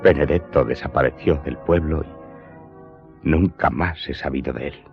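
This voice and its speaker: Radio drama role: guilt-ridden manservant, very low voice, deferential and sorrowful, muffled recording with music behind. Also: male voice, a little slow. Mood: troubled, grave. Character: remorseful male servant, a steward confessing his crime. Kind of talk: Spanish-language radio drama acting. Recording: dull lo-fi sound, music behind.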